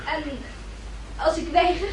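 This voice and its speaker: A desperate young noblewoman in a radio drama. Her voice is extremely high and tremulous, pleading in formal phrases. She sounds a little far off in a roomy old recording. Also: little pitch movement, slow, heavy background noise. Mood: distressed. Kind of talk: Dutch radio play acting.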